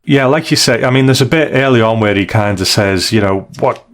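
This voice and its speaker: A man co-hosting a podcast, talking through a review in a casual, measured and explanatory way. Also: mid-range voice. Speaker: podcast co-host.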